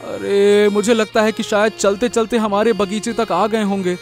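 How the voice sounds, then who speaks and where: A man's voice: high-pitched, little pitch movement, moderate pace, faint music behind. A man voicing a humble, naive, dejected young vegetable seller in a moral-story cartoon. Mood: puzzled, worried.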